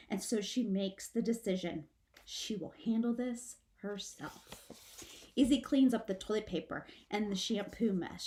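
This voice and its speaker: A woman reading a children's book aloud like a teacher, with dramatic, expressive shifts of emphasis. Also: medium pitch. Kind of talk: expressive storybook read-aloud.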